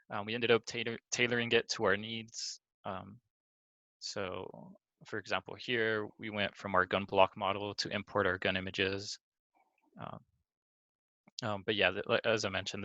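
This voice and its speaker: A man's voice: lowish voice, sharp tone, no room sound, muffled recording. A young man giving an informal student project presentation over a video call.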